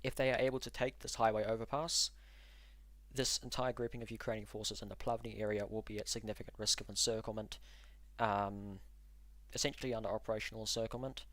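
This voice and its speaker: A man hosting a live stream, walking through frontline maps and answering chat in an analytical, measured way with frequent ums and uhs. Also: fairly low pitch, fairly bright tone, no echo. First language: English. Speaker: livestream host and war mapper.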